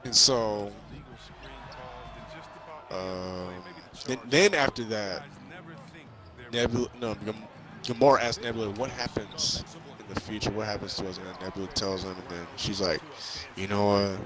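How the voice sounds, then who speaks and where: A young man casually recapping a movie in an excitable, rambling, hesitant way. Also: on the low side, measured pace, pauses often. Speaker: vlogger reviewing a film. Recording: crowd chatter, bouncing basketball sounds behind.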